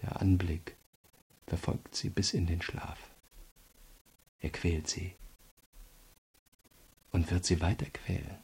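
Deep husky prosecutor, dark mellow voice, measured and probing, radio crime drama. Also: male voice, flat delivery, full character voice, low-quality recording. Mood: grave, accusing. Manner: measured, insistent, pointed questioning. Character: calm, probing male state prosecutor interrogating a prisoner.

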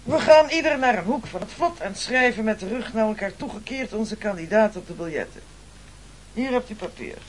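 An actor playing a pompous, scheming castaway leader in a radio play, with oratorical delivery. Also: male voice, high-pitched, expressive, normal speed, background noise. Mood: brisk, officious. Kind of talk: theatrical radio play acting.

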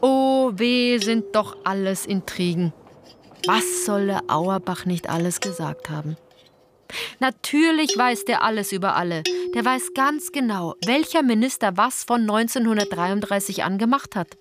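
A woman's voice: mid-range voice, animated, measured pace, background noise, natural voice. An actress playing a sardonic female tour guide in a German radio play, addressing listeners directly with theatrical irony. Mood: mocking. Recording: music under the voice. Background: music.

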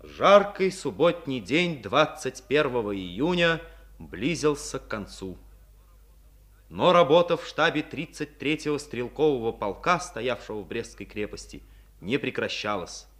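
A male narrator of an old Soviet radio play. His voice is forceful and bright at a middle pitch, with solemn, declamatory phrasing. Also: very animated. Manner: solemn, declamatory, measured diction.

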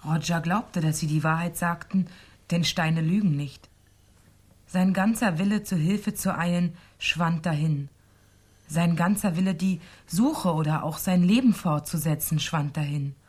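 Radio play storyteller: woman, low and breathy-edged voice, reading a fairy tale narration. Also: measured pace, a little mellow.

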